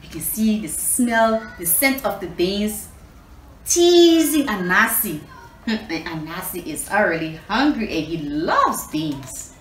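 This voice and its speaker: A woman reading a children's storybook aloud expressively, pausing to explain and put questions to her young listeners. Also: mid-range voice, sing-song. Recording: echoey room, distant mic, faint noise.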